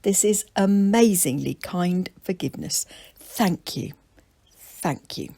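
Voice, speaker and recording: A woman reader plays an elderly storybook grandmother who is used to being obeyed. She is stern yet kindly and grateful, with a husky, creaky edge to her voice. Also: on the low side, lively intonation, takes it slowly, warm tone, dry recording, exaggerated voice. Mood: moved, grateful. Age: older.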